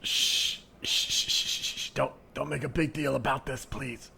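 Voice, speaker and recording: A man improvising an embarrassed fellow in a comedy scene, pleading awkwardly and quietly for discretion. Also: mid-range voice, fairly even intonation, normal speed, gravelly, husky, voice pushed up, heavily acted.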